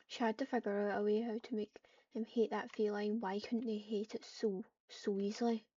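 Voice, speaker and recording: A woman's voice: mid-range voice, little pitch movement, normal speed, dark tone, husky. A woman improvising story narration as she roleplays in a game. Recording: dull, muffled lo-fi microphone.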